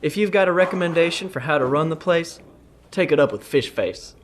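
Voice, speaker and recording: Cocky young male champion acted by a man, slightly high, dismissive and folksy, period radio drama. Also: fairly quick, slight background noise, close to an everyday voice. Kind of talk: radio play acting.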